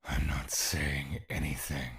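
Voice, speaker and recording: A very low, deep-voiced spirit that needles and mocks the man it is trapped inside, as an actor plays it. Its tone stays cold and sarcastic in this audio drama, with a slow creeping scorn behind each jab. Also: little pitch movement, fairly bright tone, full character voice. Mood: taunting.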